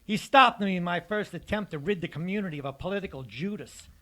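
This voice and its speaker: An actor playing a polite, menacing, unhinged escaped convict in a radio detective drama, speaking with calm precision. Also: male voice, sits high, fast. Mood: smug, cold.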